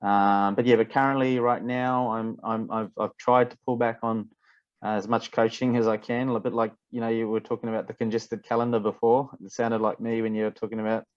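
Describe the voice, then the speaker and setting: A man from Fiji, a rugby coach chatting as a podcast guest, relaxed and repeating words as he thinks. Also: fairly low pitch, a little fast, dry recording, highs cut off. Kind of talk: casual interview chat.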